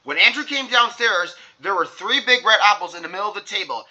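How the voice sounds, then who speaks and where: A man's voice: high-pitched, animated, brisk, bright, forceful tone, rough recording. A young man narrating a children's storybook aloud. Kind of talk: animated read-aloud narration.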